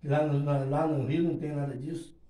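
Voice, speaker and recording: A middle-aged Brazilian man giving an informal testimony at a home worship gathering in halting, repetitive phrases, recorded by a phone across a small room. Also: fairly high pitch, fairly even intonation, mellow, echoey room, distant mic, low-quality recording. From Rio de Janeiro, Brazil. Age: middle-aged, around 50s. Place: small living room, indoors. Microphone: phone held a few metres away.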